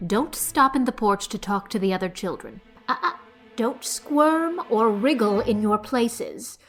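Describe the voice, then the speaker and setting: Scolding older matron, slightly high voice, stern, fussy, storybook drama. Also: female voice. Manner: stern, nagging, clipped commands. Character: severe, bossy older woman, fussy scolding guardian. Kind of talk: audio storybook character acting.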